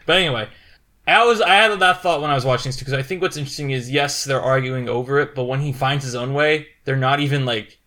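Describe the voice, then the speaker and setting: A man co-hosting a podcast, chatting casually about films in an animated, rambling way, often acting out quotes. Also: mid-range voice, quick pace. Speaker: podcast co-host. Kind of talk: casual film chat.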